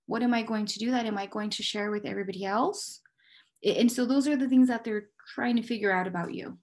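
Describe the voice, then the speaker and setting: A woman's voice: fairly high pitch, fast, a little mellow. A woman with an American accent giving candid, conversational interview answers as a police dispatcher, explaining things step by step and sometimes posing her own questions.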